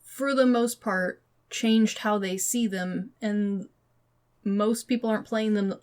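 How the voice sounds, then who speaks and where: A woman's voice: medium pitch, fairly even intonation, takes it slowly, darkish tone. A woman podcast co-host chatting casually and giving her opinions in an informal, loosely phrased way. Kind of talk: casual chat about games and movies.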